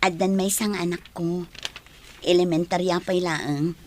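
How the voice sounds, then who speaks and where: A woman's voice: on the low side, steady tone, a little slow, a little mellow, a little hiss or hum, natural voice. A woman with a Filipino Ilocano accent playing a hardworking, friendly and frank single mother in a radio drama. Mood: frank, sympathetic.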